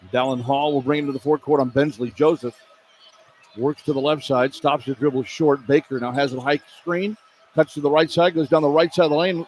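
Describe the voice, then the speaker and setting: An American man doing live radio play-by-play of a basketball game, speaking in clipped running phrases that follow the action. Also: medium pitch. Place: courtside in an indoor arena. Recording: clear background noise, live arena broadcast.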